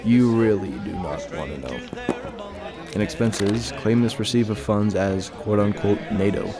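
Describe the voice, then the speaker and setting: Man playing low-voiced weary ex-soldier, noisy surroundings. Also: unhurried, music in the background, a crowd in the background, close to an everyday voice. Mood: guarded. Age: 40s. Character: weary haunted 40-year-old male ex-soldier in hiding. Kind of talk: audio drama acting and narration.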